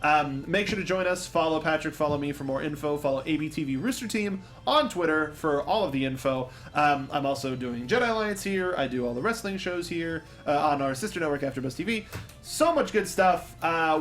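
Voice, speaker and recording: A man's voice: on the high side, expressive, brisk, a bit bright, soft background music. A man hosting a film review podcast in casual, joking panel chat.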